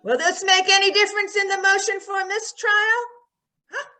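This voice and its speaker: A woman playing an excited newspaper reporter in an old-time radio drama, with an urgent, questioning manner. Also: extremely high pitch, normal speed.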